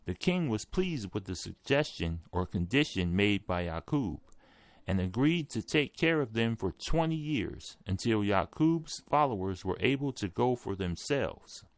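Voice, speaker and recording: A man with a noticeably creaky, gravelly voice narrates from a written text. His pitch sits in the middle range for a man, and the sound is dry and close, with no room around it. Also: no echo. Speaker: article reader. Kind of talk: scripted narration, reading an article aloud.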